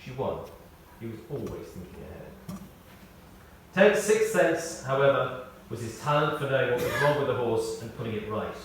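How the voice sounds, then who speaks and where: A man reading a prepared tribute aloud in short, measured phrases, with wry storytelling. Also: medium pitch, very animated. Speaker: tribute speaker. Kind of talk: read-out memorial tribute with anecdotes. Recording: echoey hall, distant, faint noise.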